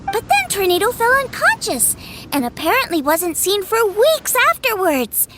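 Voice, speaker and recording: A woman's voice: extremely high pitch, big pitch swings, moderate pace, very noisy. A woman voicing a sweet, practical young girl in an anime game, explaining things matter-of-factly.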